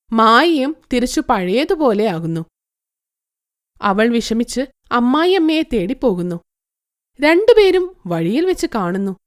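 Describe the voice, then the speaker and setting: A woman narrating an animated moral story in a scripted way, with an even, plain and steady delivery. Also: on the high side, dry recording, studio quality.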